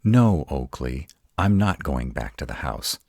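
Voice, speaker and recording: A man playing a grumpy, protective were-dragon in a roleplay audio, gruff and exasperated, rattled but defiant. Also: a bass voice, big pitch swings, a little mellow, no room sound, studio quality, exaggerated voice. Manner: gruff, exasperated, halting one-sided dialogue.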